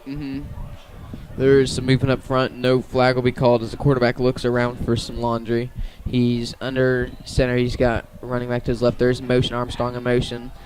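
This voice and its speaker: An American man gives live radio play-by-play of a football game. His voice sits at a medium pitch with extremely little melodic movement. He delivers each play in halting pieces, correcting himself as he goes. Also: completely flat delivery, darkish tone, low-quality recording. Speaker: play-by-play commentator.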